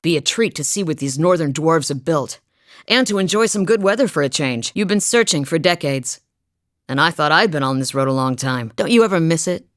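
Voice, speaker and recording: A woman playing a wry, steady huntress from a cold northern village in a video game, speaking with dry humor. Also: sits low, brisk, no room sound. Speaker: video game companion character. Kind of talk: game voice lines.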